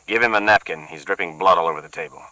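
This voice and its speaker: A man as a low, tight-voiced quiet boss. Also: fast, dry recording, distant mic, rough recording. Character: tense, controlled male boss.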